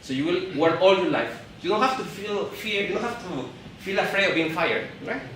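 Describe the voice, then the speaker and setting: A man's voice: high voice, lively intonation, fairly bright tone, far from the mic, a little hiss or hum. Peruvian man talking on stage in an echoey hall. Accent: Peruvian Spanish. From Peru. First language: Spanish. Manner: halting, repeats and restarts words, laughs along with the crowd. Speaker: event speaker. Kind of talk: live stage talk to an audience. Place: event hall.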